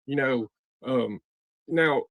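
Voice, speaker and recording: A man's voice: medium pitch, slow, fairly bright tone, no room sound. A man hosting a casual livestream, asking probing questions in an informal way. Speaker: host of a livestream taking calls from jail. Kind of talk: casual livestream interview chat.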